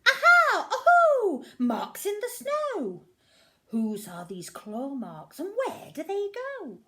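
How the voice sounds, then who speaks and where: A woman voicing a young female monster cub, bold and excitable, with shrill, squealing exclamations as she reads a picture book aloud. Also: slow pace, full character voice. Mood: excited.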